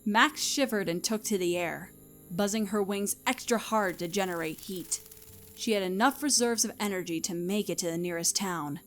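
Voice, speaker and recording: A woman's voice: medium pitch, normal speed. A woman reading scripted story narration as the narrator of an audio play. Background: music.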